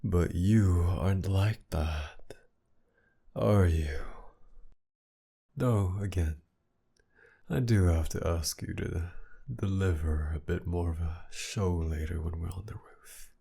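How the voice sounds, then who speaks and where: A man playing a sadistic, possessive, flirtatious villain in an intimate ASMR roleplay, taunting in a breathy, teasing drawl. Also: slow, long pauses, dark tone, heavily acted. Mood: teasing, amused. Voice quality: low, gravelly, breathy.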